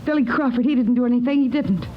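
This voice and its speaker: High-voiced, desperate young-love gangster's girlfriend, acted by a woman. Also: quick pace, natural voice. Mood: pleading. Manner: plaintive, distraught. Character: desperate, pleading, lovelorn girlfriend of a thief. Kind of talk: melodramatic 1950s radio acting. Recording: dull vintage radio recording, faint noise.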